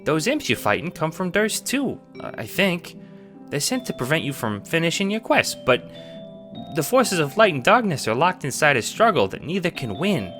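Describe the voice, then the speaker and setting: A man voicing an eager, nervous, childlike cat sprite in an audio drama, hesitant and excitable. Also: a little high, moderate pace, soft background music, pitched above the performer's own voice. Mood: eager, proud.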